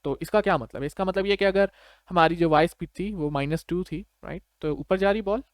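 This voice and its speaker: A man's voice: high-pitched, brisk, no echo, clean studio sound. A man with a Pakistani accent giving an informal step-by-step tutorial, conversational and often checking that the listener follows. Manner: conversational, checks understanding with short tag questions. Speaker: programming tutor.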